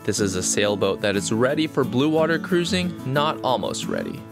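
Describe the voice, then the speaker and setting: A man's voice: mid-range voice, wildly swooping pitch, normal speed, vocal fry. A man delivering scripted promotional narration as a sales presenter. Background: guitar music.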